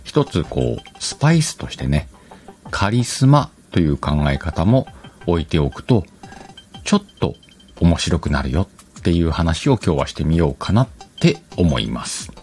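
A man's voice: extremely low pitch, big pitch swings, normal speed, a little mellow, soft background music. A man hosting a casual solo talk show, chatting in short, conversational phrases. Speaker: host of an audio talk show. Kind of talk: casual solo radio-style chat.

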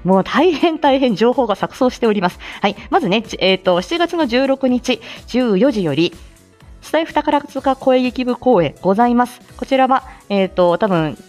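A woman's voice: on the high side, lively intonation, moderate pace, darkish tone, faint music behind. A woman hosting a live morning audio show, chatting casually and reading out announcements in a bubbly manner that moves between cute and polite.

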